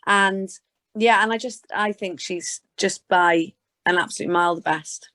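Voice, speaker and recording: A woman's voice: mid-range voice, measured pace, sharp tone. Chatty British woman in casual interview. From Scotland and Gloucestershire, England. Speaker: interview guest, sports presenter.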